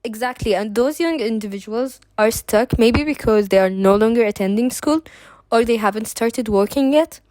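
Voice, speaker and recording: A woman's voice: a little high, moderate pace, darkish tone, no echo. A young woman from Kuwait, a student presenting at a seminar, reading prepared remarks with small restarts.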